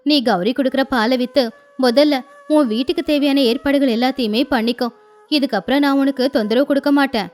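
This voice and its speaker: A woman's voice: high-pitched, a little fast, darkish tone, close to an everyday voice. A woman voicing a stern female crow moneylender in a cartoon moral story. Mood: apologetic, kind.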